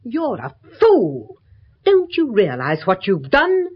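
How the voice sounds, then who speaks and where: A man acting a stern, grimly warning captor in a 1970s radio drama, husky, emphatic and reproachful. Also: high voice, wildly swooping pitch, slow pace, background noise, heavily acted. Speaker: actor. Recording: dull 1970s radio recording.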